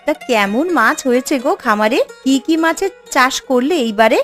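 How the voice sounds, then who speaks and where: A woman voicing a caring, anxious village wife in a cartoon folk tale, speaking with expressive concern. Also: high voice, normal speed, soft background music. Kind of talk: cartoon fairy-tale dialogue.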